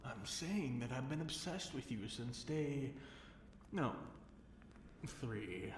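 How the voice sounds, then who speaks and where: A man playing an obsessive, seductive fallen dragon king held captive, speaking in a slow, teasing, theatrical purr for an intimate ASMR roleplay. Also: mid-range voice, animated. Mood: obsessed, tender. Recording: roomy echo with faint noise.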